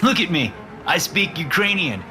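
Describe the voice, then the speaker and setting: A man voicing the young characters of a horror story in a raised, lively voice. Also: moderate pace. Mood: tense. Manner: raised pitch for dialogue. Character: young adult characters, men and a woman. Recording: music underneath.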